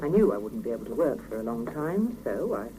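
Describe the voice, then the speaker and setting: A woman's voice: very deep voice, sing-song, brisk, dark tone. A woman playing a wry, resigned scientist confiding matter-of-factly in a 1970s radio drama. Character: candid, resigned female scientist confiding in a friend. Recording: noisy old mono cassette radio recording.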